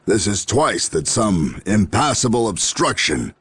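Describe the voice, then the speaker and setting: An actor playing a gruff, proud human military general in a video game, speaking formally and sternly. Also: male voice, on the low side, big pitch swings, unhurried, fairly bright tone, dry recording, full character voice. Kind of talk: dramatic video game voice acting. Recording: music under the voice.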